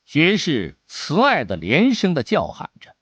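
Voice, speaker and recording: A man narrating an adventure novel as an audiobook, with expressive, deliberate dramatic storytelling. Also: fairly high pitch, no echo, highs cut off.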